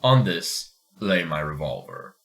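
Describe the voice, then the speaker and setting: Very low-voiced man, podcast reader. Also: huge pitch swings, a little slow, vocal fry, a bit bright. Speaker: podcast co-host reading a story aloud. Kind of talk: casual chat mixed with dramatic storybook reading.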